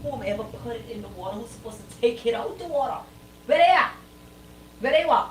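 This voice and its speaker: A woman's voice: on the high side, lively intonation, fairly quick, a little hiss or hum, poor audio. A woman co-hosting radio banter, opinionated and emphatic, hammering points home with repeated phrases and rhetorical questions. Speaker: radio show co-host.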